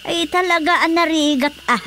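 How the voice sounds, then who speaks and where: An actress playing a gossipy, reassuring older village wife in an Ilocano radio drama, with an Ilocano accent. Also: female voice, very high voice, moderate pace, bright, forceful tone, slight background noise. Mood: concerned.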